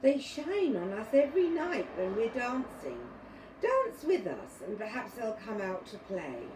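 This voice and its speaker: A woman's voice: fairly high pitch, huge pitch swings, unhurried. A woman voicing a friendly, enigmatic fairy in a children's storybook, inviting and riddling. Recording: roomy, distant mic, clearly noisy.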